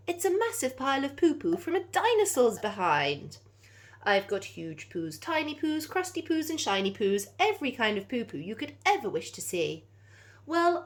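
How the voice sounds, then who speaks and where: Woman playing an excitable, boastful gentleman showman, swooping pitch. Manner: boastful, eager, grandly salesmanlike. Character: eccentric excited gentleman collector of exotic poo, showman. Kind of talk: children's storybook read-aloud.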